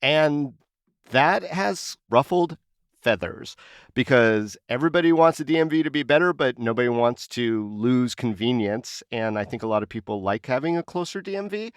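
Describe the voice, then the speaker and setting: A man with a clean, smooth voice in a dry, close recording talks through local news as a podcast co-host. He keeps it conversational and hands questions over to the others. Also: mid-range voice, expressive, normal speed, no echo. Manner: conversational, posing questions to co-panelists. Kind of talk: casual news discussion.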